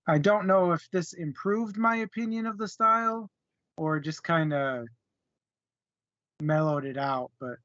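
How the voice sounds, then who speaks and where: Slow, slightly high-voiced man, dry dull sound. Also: fairly bright tone, dry recording. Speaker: book discussion panelist. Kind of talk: casual book discussion.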